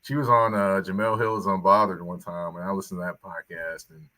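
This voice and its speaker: A man hosting a podcast interview, asking questions in a relaxed, laid-back way. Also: low-pitched, a little fast. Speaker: podcast host. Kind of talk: casual interview chat.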